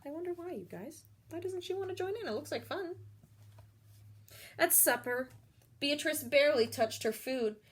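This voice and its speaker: High-voiced woman reading a children's picture book. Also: moderate pace, long pauses. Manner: expressive, explains feelings and puts questions to children. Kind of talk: read-aloud storytelling with asides to young listeners.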